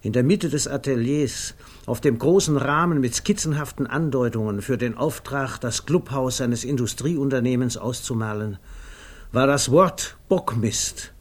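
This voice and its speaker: An actor narrating a comic 1950s German radio play in a measured, precise, drily amused literary manner. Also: male voice, medium pitch, breathy edge. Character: wry literary male narrator of a comic tale.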